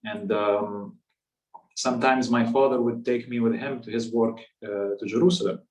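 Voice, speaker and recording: A man in his thirties with a Palestinian accent, a native Arabic speaker, telling a personal story in a measured, halting way. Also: medium pitch, fairly even intonation, darkish tone. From West Bank, Palestinian territories. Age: 30s. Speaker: guest speaker. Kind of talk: personal storytelling in a public talk. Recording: echoey room, distant microphone.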